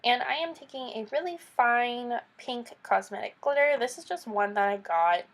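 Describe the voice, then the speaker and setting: A woman's voice: on the high side, moderate pace. A young woman with a stuffy, nasal voice from a cold, chatting through a makeup tutorial voice-over as a beauty vlogger. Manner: chatty, explains each step with casual asides.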